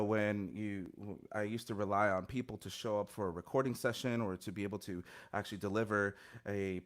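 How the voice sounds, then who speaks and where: A man in his thirties speaks earnestly into a studio mic at home, reflective and full of little restarts. His voice sits a bit low. It comes through a video call. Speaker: guest musician. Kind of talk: conversational interview answers. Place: home music studio, indoors. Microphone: studio mic on stand, headphones, video call.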